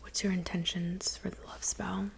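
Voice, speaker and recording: A woman playing a calm, helpful woodland witch in a soft, whispered ASMR roleplay, unhurried and murmuring. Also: lowish voice, creaky. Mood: calm, welcoming. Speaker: ASMR performer.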